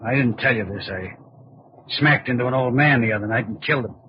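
A man's voice: medium pitch, a little fast, natural voice. An actor playing a cocky, scheming con artist and killer in an old-time radio crime drama, talking in breezy tough-guy slang. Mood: bragging, hard-boiled. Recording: muffled, noisy old radio drama recording.

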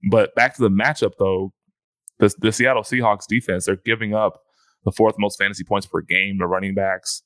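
A man's voice: deep voice, a bit bright, no echo. A man co-hosting a fantasy football podcast in casual chat, often restarting his phrases.

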